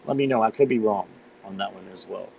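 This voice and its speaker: A man with a slight cold and a hoarse voice, chatting casually as he hosts a live tarot stream and greets viewers by name. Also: fairly low pitch, quick pace, darkish tone, lo-fi sound, slight background noise.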